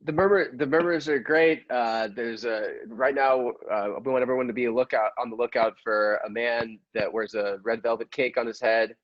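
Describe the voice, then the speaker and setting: A man playing a bumbling, evasive police inspector who rambles haltingly in improvised radio comedy. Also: mid-range voice, steady tone, no room sound, muffled recording, natural voice. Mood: flustered.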